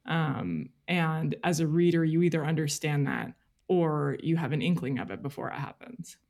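Female interview guest, strong vocal fry, mid-low conversational register, thoughtful and halting delivery. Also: a little mellow. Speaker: podcast guest, journalist.

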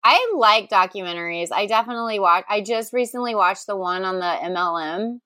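A woman's voice: mid-range voice, a little fast, sharp tone, no echo, clean studio sound. A woman co-hosting a podcast in casual chat, talking in a gushing, enthusiastic way. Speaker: podcast co-host.

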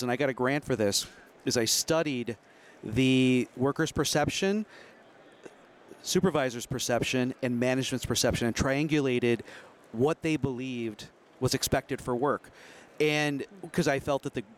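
A man from Wisconsin working as an assistant professor, talking through a workplace success story in a quick, explanatory way. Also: mid-range voice. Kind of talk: guest interview.

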